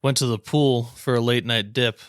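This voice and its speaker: A man's voice: mid-range voice, fairly even intonation, moderate pace, smooth, no echo, clean studio sound. A man co-hosting a sports podcast with casual, wry banter in short, clipped remarks. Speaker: podcast co-host.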